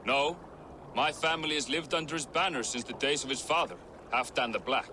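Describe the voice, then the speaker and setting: A man's voice: mid-range voice, fairly quick, pushed and bright, a little hiss or hum, close to an everyday voice. An actor playing a terse, loyal Norse king's soldier, matter-of-fact in game dialogue. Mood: curt, dutiful.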